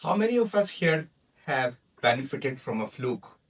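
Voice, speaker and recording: A man's voice: mid-range voice, sing-song, normal speed, distant mic. A man in his forties with a Pakistani accent, a native Punjabi speaker, giving a humorous prepared club speech and acting out the people in his story. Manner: storytelling, acts out quoted characters.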